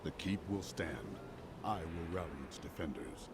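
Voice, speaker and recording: An actor playing a stern, resolute warrior hero in a video game, speaking gruffly in grave, determined declarations. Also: male voice, low-pitched, big pitch swings, moderate pace, very noisy, full character voice. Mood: defiant. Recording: loud game music behind. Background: loud dramatic music.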